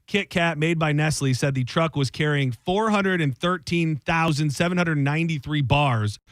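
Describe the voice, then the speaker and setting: A man's voice: fairly high pitch, normal speed, dry recording. A male radio host chatting casually in the studio, joking and rambling with his co-host. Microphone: studio microphone.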